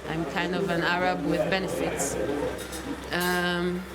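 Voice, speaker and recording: A woman from Israel, talking thoughtfully in a documentary interview, often pausing to search for words. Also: fairly low pitch, level tone, a loud crowd, rough recording. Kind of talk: reflective personal testimony.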